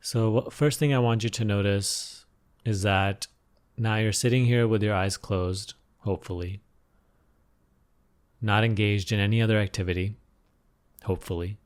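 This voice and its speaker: Low-voiced man guiding a meditation. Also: steady tone, clean tone. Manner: unhurried instructing with repeated phrases and pauses. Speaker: meditation teacher. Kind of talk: guided meditation, unscripted gentle instruction.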